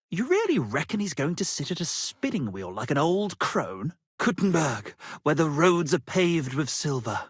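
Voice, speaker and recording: A pompous medieval poet and musician from a video game, speaks with florid theatrical flair. His voice is husky and swings widely in pitch, in a dry, close recording. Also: a bit bright, dry recording, full character voice. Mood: lofty, lyrical. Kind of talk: theatrical game character dialogue.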